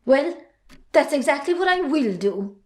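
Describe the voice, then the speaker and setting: Woman playing a high-voiced, outspoken Irish daughter. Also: sing-song, measured pace. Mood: indignant. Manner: assertive, chiding. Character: outspoken, independent young adult farmer's daughter. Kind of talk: amateur stage drama acting.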